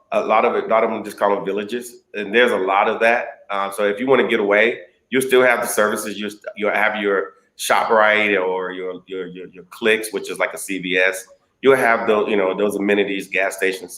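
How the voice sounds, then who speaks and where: Guest: man from the US, lower voice, easygoing, echoey room on a call. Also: normal speed. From United States. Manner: relaxed, conversational, many fillers. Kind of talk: casual interview answers.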